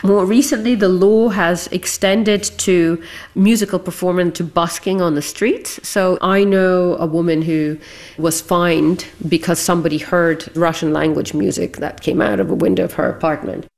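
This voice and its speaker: A woman academic explains things fluently and calmly in a lowish voice. Her delivery is steady and articulate. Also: moderate pace, vocal fry. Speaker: anthropologist interviewee.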